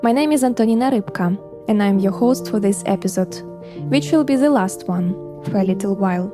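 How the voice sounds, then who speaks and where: A Ukrainian woman in her thirties, a podcast host reading a measured, reflective scripted narration with a Ukrainian accent. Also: medium pitch. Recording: music bed behind voice. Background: music.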